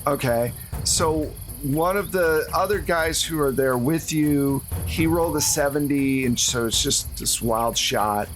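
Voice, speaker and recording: A man's voice: on the high side, unhurried, hardly any pauses, slight background noise. A man narrating a tabletop horror roleplaying game as game master, in a casual, conversational way.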